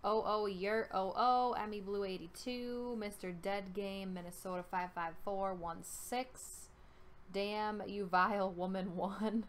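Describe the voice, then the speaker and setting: A woman's voice: medium pitch, fairly even intonation, slow, slight background noise. A young woman with a New York accent, chatting casually on a live stream and reading out names in an informal way. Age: young adult. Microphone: headset.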